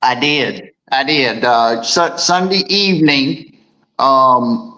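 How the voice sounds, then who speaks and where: Male co-host, deep voice, rambling conversational delivery, reads comments aloud. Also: slow pace, bright, forceful tone, rough recording. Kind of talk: casual morning show chat.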